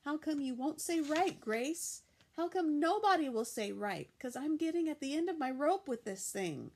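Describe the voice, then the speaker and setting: A woman voicing an excitable, bossy little girl of about six, shouting in short breathless bursts as an audiobook character. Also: sits high, normal speed, pitched above the performer's own voice. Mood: excited, frantic.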